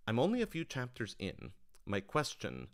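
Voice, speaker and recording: A man's voice: medium pitch, wildly swooping pitch, normal speed. A man talking casually and thoughtfully as a writer-director answering audience questions on a livestream, working out his explanations as he goes.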